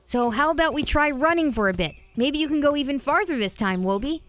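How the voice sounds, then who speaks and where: Kind young boy hero, played by a woman, high-pitched and animated, eager, kids' adventure podcast with noisy background. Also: a little fast. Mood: gentle, encouraging. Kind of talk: children's audio drama acting.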